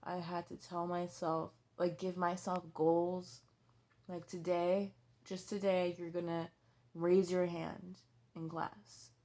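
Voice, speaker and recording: A young woman in her twenties with a low, gravelly, creaky voice, speaking slowly and haltingly. She restarts her sentences often and fills gaps with fillers. Her talk is blunt and candid, with frequent swearing. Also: level tone, mellow. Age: 20s. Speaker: vlogger. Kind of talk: personal confessional vlog.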